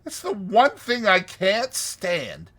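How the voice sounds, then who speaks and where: Storybook toad gentleman: boastful, pleading, very high-pitched, forceful. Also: huge pitch swings, takes it slowly, full character voice. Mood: imploring. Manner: exclamatory, wheedling, showy. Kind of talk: dramatized storybook reading.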